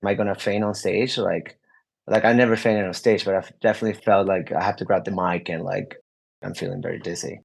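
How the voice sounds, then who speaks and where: A man's voice: low-pitched, fairly even intonation, brisk, gravelly, slightly rough. A young adult man, a guest musician, answering interview questions openly in a rambling way, full of "like" fillers and restarts.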